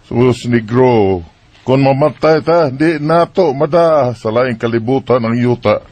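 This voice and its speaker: A man with a Bisaya accent playing a patient, conciliatory middle-aged father in a radio drama, halting and resigned. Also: mid-range voice, a little mellow, lightly acted. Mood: resigned, placating. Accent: Filipino Bisaya. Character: patient, conciliatory father who avoids quarrels.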